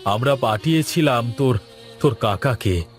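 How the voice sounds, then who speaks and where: A man playing a wealthy father and master of the house in an animated moral story, earnest and commanding. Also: mid-range voice, normal speed, soft background music, lightly acted. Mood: earnest, reassuring.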